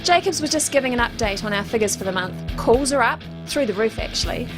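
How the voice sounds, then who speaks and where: A woman as a slightly high-voiced office colleague. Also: expressive, music in the background, lightly acted, rough recording. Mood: composed, upbeat. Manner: businesslike, measured. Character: composed, efficient female office colleague. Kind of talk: comedy audio drama acting.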